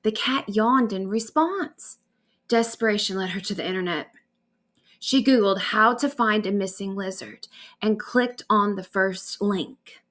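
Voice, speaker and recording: A woman narrating a bedtime story aloud in an expressive storytelling manner. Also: medium pitch, a little slow. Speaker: author reading own stories aloud.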